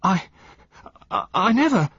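A man's voice: medium pitch, big pitch swings, fairly quick, fairly bright tone, husky, no room sound, voice pushed up, full character voice. A man voicing an affable, easygoing young husband. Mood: alarmed. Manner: cheerful, then flustered. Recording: dull lo-fi recording.

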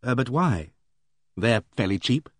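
A man's voice: mid-range voice, expressive, a little slow, dry recording, studio quality. A man voicing a frank young woman who speaks in a direct, matter-of-fact way, in an audiobook. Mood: blunt.

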